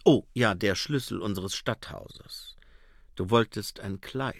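A man's voice: on the low side, takes it slowly, no room sound, clean studio sound. A man reading an audiobook and voicing an earnest young male newspaper editor with firm, stiffly correct phrasing.

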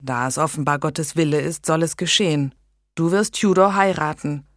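A gentle, pious king, read by a woman narrator, speaking in a calm and measured way. Her voice stays low and unhurried, heard close in a dry, plain sound. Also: no echo, close to an everyday voice.

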